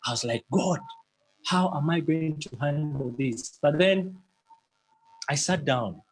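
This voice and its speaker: Husky earnest man with a stammer. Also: fairly high pitch, lively intonation, slow pace, rough recording. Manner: stammers, repeats words, earnest and emphatic. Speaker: motivational speaker. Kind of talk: motivational talk.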